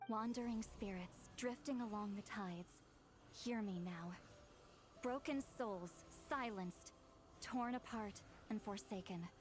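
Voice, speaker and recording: A woman's voice: medium pitch, takes it slowly, natural voice. An actress playing an earnest young female shaman in a video game, chanting a ritual incantation. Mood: solemn. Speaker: game character. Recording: game audio with music underneath.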